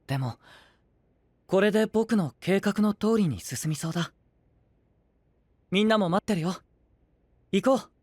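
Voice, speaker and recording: A male voice actor playing a gentle, composed young knight leader with grand ambitions, soft, polite and persuasive, in an anime-style voice drama. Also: high voice, animated, measured pace, long pauses, breathy edge, full character voice. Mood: welcoming.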